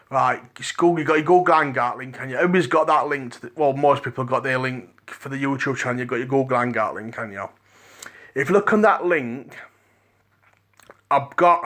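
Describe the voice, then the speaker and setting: A man with a Liverpool accent hosting a rambling solo podcast, his voice dry and croaky, restarting his sentences again and again. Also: mid-range voice, pushed and bright. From England. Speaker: podcast host.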